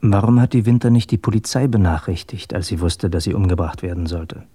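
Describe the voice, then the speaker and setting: Deep, questioning detective sidekick. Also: male voice, fairly even intonation, fairly quick, mellow, breathy edge, no room sound. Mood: puzzled, probing. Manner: questioning, puzzled. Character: inquisitive, doubting junior police colleague. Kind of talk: German radio crime drama acting.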